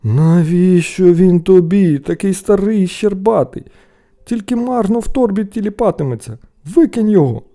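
A man's voice: a little high, expressive, fairly quick, creaky, few pauses, dark tone, voice pushed up. A man voicing a scolding, exasperated peasant elder brother in a storybook tale, scornful and nagging.

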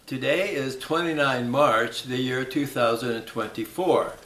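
An older man, a museum volunteer, conducting an oral history interview with measured, friendly questions. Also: medium pitch, a bit bright, reverberant, far from the mic. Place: museum room, indoors.

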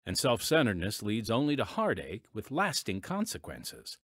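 A man's voice: fairly low pitch, huge pitch swings, moderate pace, a bit bright, dry recording, clean studio sound. A man hosting as announcer, reading dramatic scripted radio narration with folksy, emphatic showmanship. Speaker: announcer and host. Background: music.

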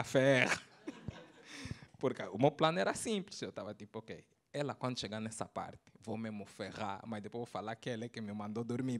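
Angolan man telling stand-up stories. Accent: Angolan Portuguese. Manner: storytelling with slang, swings between loud bursts and low asides. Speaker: stand-up comedian. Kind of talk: stand-up routine. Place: comedy club stage with audience. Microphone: handheld mic.

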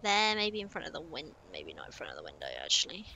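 A woman's voice: sits low, huge pitch swings, fast, bright, forceful tone, a little hiss or hum. A young woman, chatting casually to herself while playing a building game on stream. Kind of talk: casual gameplay commentary.

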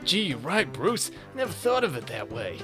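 Casting: man as gee-whiz teenage boy sidekick, raised pitch, lively swings, earnest comic dub. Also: moderate pace, over music. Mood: earnest eagerness. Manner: gushing, eager. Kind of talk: comic dub character acting.

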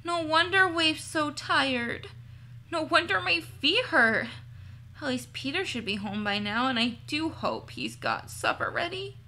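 A woman's voice: high voice, big pitch swings, unhurried, pushed and bright, voice pushed up, heavily acted. A woman voicing an earnest, worried girl heroine from a storybook, who frets and thinks aloud in a chatty way. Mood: weary.

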